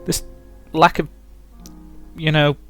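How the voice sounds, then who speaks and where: A man voicing an insecure, affectionate, tipsy young woman who speaks in a halting, earnest, confiding way in a visual novel. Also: on the high side, level tone, faint music behind, voice pushed up. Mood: anxious, insecure.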